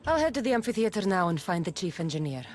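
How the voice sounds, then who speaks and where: A woman's voice: medium pitch, very animated, fast talker, lightly acted. An actress playing a bold, wry mercenary woman of ancient Greece in a video game, confident and direct. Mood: businesslike. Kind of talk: video game cutscene acting.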